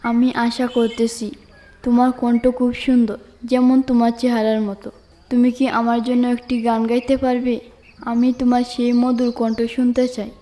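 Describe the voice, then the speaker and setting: A woman voicing a sly, flattering fox in a fable cartoon. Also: on the high side, moderate pace, natural voice. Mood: coaxing. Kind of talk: children's fable cartoon dialogue.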